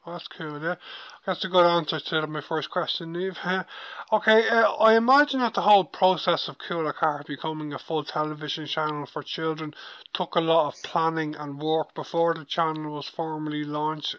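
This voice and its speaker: A man's voice: a little high, a bit bright. A man hosting a radio interview, asking long formal questions with stumbles and restarts. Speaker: radio host. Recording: dull lo-fi sound.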